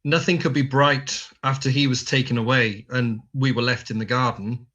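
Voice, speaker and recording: Man playing bright-voiced, shaken biblical disciple. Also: mid-range voice, steady tone, moderate pace, highs cut off. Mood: bleak, shaken. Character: shaken, despairing disciple Peter after Jesus's arrest. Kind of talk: dramatic monologue in a church service.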